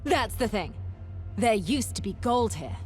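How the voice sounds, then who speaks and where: Eccentric, animated treasure hunter. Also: female voice, on the high side, measured pace, faint music behind. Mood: conspiratorial excitement. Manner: theatrical, chatty, dramatic emphasis. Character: eccentric, excitable, boastful young female treasure hunter. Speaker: game companion character. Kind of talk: theatrical video game voice acting.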